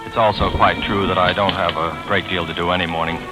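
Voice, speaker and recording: An actor playing a wry, idle gentleman sleuth with a pompous, mock-formal deadpan in 1940s radio style. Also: deep voice, quick pace, over loud music, close to an everyday voice. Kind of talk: 1940s radio acting, first-person narration and dialogue. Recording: noisy old 1940s radio broadcast.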